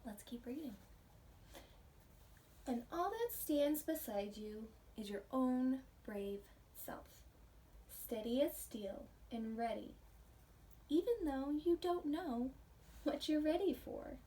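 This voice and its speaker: Slow, high-voiced woman reading to children. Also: lively intonation. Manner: gentle, simple teacherly phrasing, pausing to ask questions. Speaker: preschool teacher reading aloud. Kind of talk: children's read-aloud with questions to young listeners. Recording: distant microphone, faint background noise.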